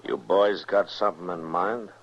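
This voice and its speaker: A man's voice: steady tone, normal speed, close to an everyday voice. A man with an American accent playing a stern, unafraid frontier marshal, terse and authoritative, in an old-time radio western. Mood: terse, steady. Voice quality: deep. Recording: noisy, muffled old radio recording.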